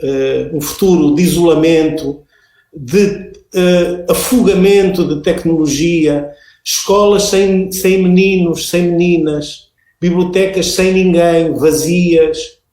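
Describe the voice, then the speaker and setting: A man whose first language is Portuguese, speaking slowly and hesitantly in Spanish and then Portuguese with a Portuguese accent, as a guest speaker on a panel. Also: on the high side, fairly even intonation, darkish tone, reverberant. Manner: slow, deliberate, hesitant. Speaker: invited speaker, school librarian expert. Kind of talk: reading a text aloud in halting Spanish, then talking in Portuguese.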